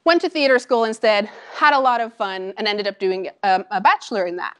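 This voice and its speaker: A woman in her thirties from Norway with a Norwegian accent, giving a conversational talk to an audience about her startup, animated and full of ums and asides. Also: mid-range voice, fairly quick, clean tone. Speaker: startup founder giving a lecture. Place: lecture room with an audience.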